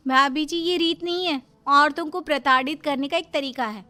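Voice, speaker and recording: Woman acting a high-pitched defiant young city bride. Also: moderate pace, sharp tone. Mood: indignant questioning. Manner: indignant, questioning, outspoken.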